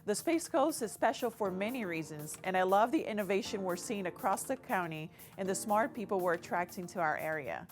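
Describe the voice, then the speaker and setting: A woman's voice: medium pitch, animated, brisk, few pauses, faint music behind. Brazilian-accented woman reading a promo. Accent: Brazilian Portuguese. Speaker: newspaper editor. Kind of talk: scripted promotional spot.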